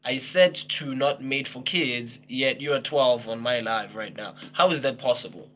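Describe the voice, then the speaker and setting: A man's voice: medium pitch, normal speed, a bit bright, slight background noise. A man in his twenties chatting casually with viewers on a livestream, teasing them, laughing often and repeating himself. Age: 20s. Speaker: livestream host.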